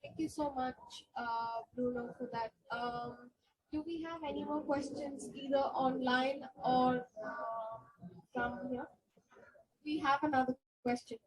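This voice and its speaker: A woman's voice: sits high, steady tone, unhurried, rough recording. A woman moderating a question session, briefly thanking the speaker and calling for the next question. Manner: brief, polite hand-over to next question.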